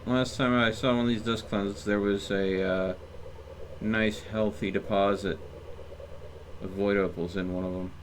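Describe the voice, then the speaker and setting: Guy streaming a game, lowish voice, just thinking out loud, bit of noise behind him. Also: steady tone, unhurried. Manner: rambling, thinking aloud. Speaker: game livestreamer. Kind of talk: casual gameplay commentary.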